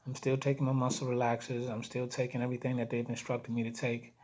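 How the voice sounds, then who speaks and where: A man with a hoarse, weak voice giving a casual video diary update, speaking haltingly and repeating phrases for emphasis. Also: medium pitch, level tone, a little mellow. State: hoarse, weak voice after throat surgery. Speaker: vlogger giving health updates. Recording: noticeable background noise.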